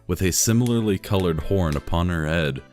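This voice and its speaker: A man reading a fan story aloud in a dry, deadpan narrating manner. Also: sits low, moderate pace, soft background music. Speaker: reader.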